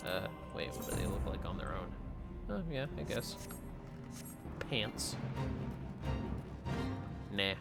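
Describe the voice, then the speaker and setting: A man's voice: fairly low pitch, animated, takes it slowly, creaky, long pauses. A man doing casual, playful gaming commentary as a livestreamer, swearing freely. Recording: game music behind the voice. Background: game music.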